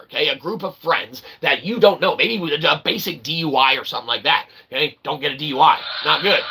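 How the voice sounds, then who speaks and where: A man hosting his own channel, rambling through an opinion monologue with lots of "okay?" and sudden loud outbursts. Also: medium pitch, breathy edge, rough recording. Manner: rambling, repeats "okay?" and fillers, bursts of loud excitement. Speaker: channel host.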